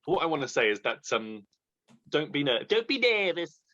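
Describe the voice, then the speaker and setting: A man chatting about books, joking and rambling, his pitch swinging up and down as he talks. The recording sounds dull and lo-fi, with the top end muffled. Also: moderate pace. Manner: jokey, rambling, animated. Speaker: booktuber co-host. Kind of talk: casual chat.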